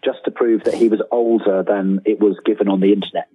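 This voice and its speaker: A man's voice: lowish voice, steady tone, fast, a little hiss or hum. A man chatting casually as an interview guest, hesitant and wryly self-deprecating, over a tinny remote call. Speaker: guest, writer of books and audio dramas. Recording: tinny phone-like line.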